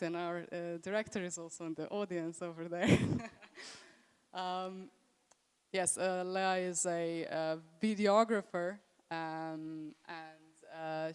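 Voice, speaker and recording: A woman hosting a live meetup, rambling warmly through introductions with many fillers and little laughs. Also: medium pitch, clean tone. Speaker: meetup host. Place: event room with stage.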